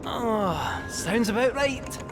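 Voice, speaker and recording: Plucky little scottish cat from a game, super high n bouncy, jaunty, music behind. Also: male voice, animated, slow pace, exaggerated voice. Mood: cheerful confidence. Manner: jaunty, exclamatory, reassuring. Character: chirpy plucky talking cat, small and cheerful. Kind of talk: video game character acting.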